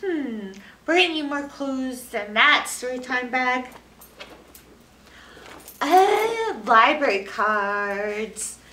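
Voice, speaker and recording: A woman's voice: high-pitched, animated, very slow, pushed and bright. A middle-aged American woman presenting a children's story time with exaggerated expression, as a librarian talking to young children. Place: indoor library room, seated on a couch. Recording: roomy, distant mic, faint noise.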